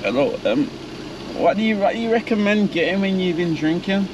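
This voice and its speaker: High-voiced young British man in noisy car. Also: lively intonation, a little fast, muffled recording. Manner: slangy, informal. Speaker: prankster vlogger. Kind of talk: casual chat and drive-through prank. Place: inside a car at drive-throughs. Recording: noisy car interior, engine and outdoor hum.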